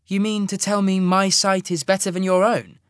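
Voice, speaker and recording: A man's voice: high voice, level tone, normal speed, no room sound, studio quality, voice pushed up, close to an everyday voice. A man voicing a skeptical young woman fighter in a raised, pointed voice.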